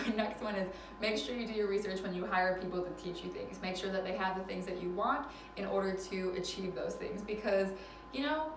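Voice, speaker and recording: A woman's voice: mid-range voice, recorded from a distance. A woman in her twenties chatting casually in an advice vlog, laughing often and poking fun at herself, recorded indoors at a relative's house. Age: 20s. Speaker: vlogger. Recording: roomy, mic set back, faint background noise.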